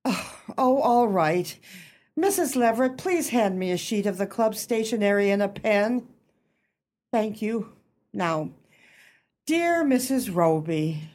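A woman's voice: medium pitch, animated, slow, a little mellow. A woman playing a fussy, suspicious society club president in a radio play, speaking in an emphatic, gossipy manner. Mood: flustered.

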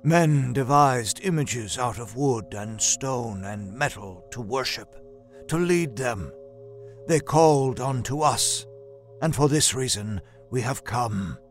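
A man voicing a solemn, otherworldly Martian creature that speaks gravely and deliberately, as in an audio horror story. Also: mid-range voice, animated, faint music behind, voice pushed down. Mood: grave, cold. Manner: slow, grave, deliberate pronouncements.

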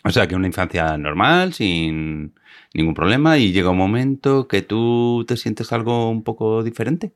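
A man's voice: fairly low pitch, animated, normal speed, no echo, clean studio sound. A man from hosts a conversational interview in an encouraging way. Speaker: interview host.

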